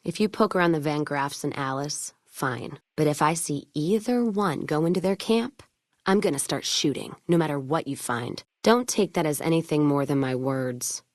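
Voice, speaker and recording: Actress as tough wasteland caravan woman: low voice, blunt, wry, hard-drinking game character, close dry recording. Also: moderate pace, no echo, natural voice. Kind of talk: video game character dialogue.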